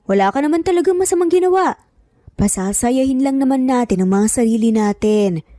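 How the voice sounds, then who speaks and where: A woman's voice: high-pitched, lively intonation, a little fast, mellow, no room sound, clean studio sound. A woman voicing a menacing, scornful older uncle, the villain of an audio romance, with a sneering, indignant manner. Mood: mocking, menacing. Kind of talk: dramatised audio romance storytelling.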